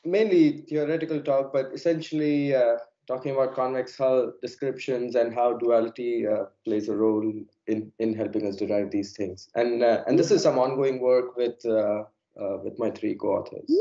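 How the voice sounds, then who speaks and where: A hoarse, unwell man giving an academic lecture over a video call, halting with many fillers and repeated words. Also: mid-range voice, steady tone, dark tone, lo-fi sound. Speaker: plenary speaker.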